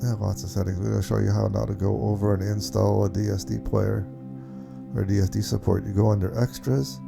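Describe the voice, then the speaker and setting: A man giving a casual, unscripted software walkthrough, explaining things step by step in an informal way. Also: fairly low pitch, level tone, brisk, gravelly, over music. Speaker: tutorial presenter.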